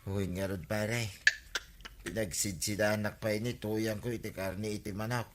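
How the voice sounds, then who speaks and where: A man with an Ilocano accent playing a sickly, complaining village husband in a radio comedy drama, plaintive and drawn-out. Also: on the low side, steady tone, natural voice. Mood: plaintive, puzzled.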